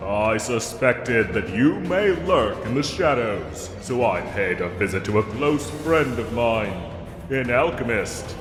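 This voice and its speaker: In a long, echoing space, a pompous knight acted by a man holds forth in a fantasy roleplay. His formal, old-fashioned speech is slow and swoops theatrically, condescending but with a soft heart beneath the gallantry. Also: mid-range voice, close to an everyday voice. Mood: haughty, commanding. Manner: formal, archaic, grandly theatrical diction. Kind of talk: scripted fantasy audio roleplay. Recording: echoey cave-like reverb, music underneath.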